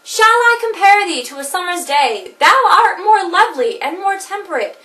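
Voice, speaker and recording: A woman acting out a jilted wife who jokes to keep from crying. Her very high, bright voice swings widely between wry jabs and fragile moments. It is heard from a distance, with room echo around it. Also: very animated, normal speed, exaggerated voice. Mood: wistful. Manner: wry, theatrical, teetering between laughter and tears. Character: jilted wife, laughing through tears. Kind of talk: comedic monologue performance.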